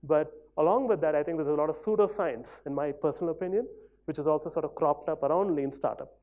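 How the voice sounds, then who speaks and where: A man in his thirties speaks at a conference podium in a large hall. His voice is mellow and warm, and he talks in a loose, conversational way, often hedging with small fillers. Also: on the high side, fast talker. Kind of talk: conference talk.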